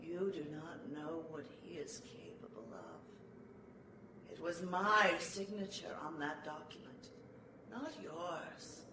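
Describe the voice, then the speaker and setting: A man's voice: fairly high pitch, expressive, takes it slowly. A man playing a remorseful, centuries-old servant in a gothic audio drama, speaking in a brooding, formal, theatrical way. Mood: grave resignation. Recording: roomy, distant, noisy amateur recording.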